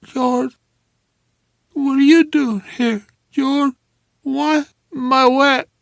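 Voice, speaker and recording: A man's voice: very high voice, steady tone, extremely slow, vocal fry, dry recording, pitched above the performer's own voice, exaggerated voice. A man voicing a drugged, half-conscious young woman who slurs, protests and pleads while shivering. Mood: groggy. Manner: slurred, tearful, shivering.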